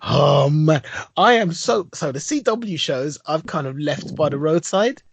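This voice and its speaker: A man's voice: on the high side, lively intonation, measured pace, gravelly, a little hiss or hum. A man co-hosting a podcast in casual chat, enthusiastic and rambling, with some teasing. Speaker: podcast co-host.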